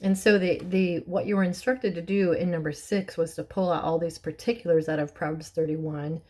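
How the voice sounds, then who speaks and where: A middle-aged woman with a hoarse, raspy voice, clearing her throat often and chatting with soft laughs as she teaches a Bible lesson. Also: fairly low pitch, steady tone, normal speed.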